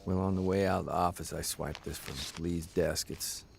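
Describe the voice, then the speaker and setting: Male columnist for audio drama: low-pitched, gravelly, stubborn, wry and easily riled. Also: fairly even intonation. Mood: subdued, reflective. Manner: halting, irritable, sardonic. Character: weary, defensive male newspaper columnist, stubborn, wisecracking. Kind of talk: naturalistic audio drama acting.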